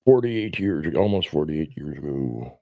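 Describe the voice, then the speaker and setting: Male, forties, livestream host. Low, mellow voice, blunt and rambling, self-deprecating. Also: very animated, measured pace, creaky, dry recording, clean studio sound. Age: 40s. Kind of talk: casual livestream chat.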